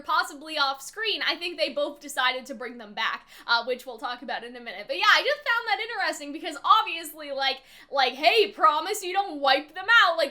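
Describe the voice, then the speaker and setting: Bright, high-pitched woman chatting casually. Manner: informal and chatty, often seeking agreement. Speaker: online video host analysing animated series lore. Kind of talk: casual fan analysis and discussion.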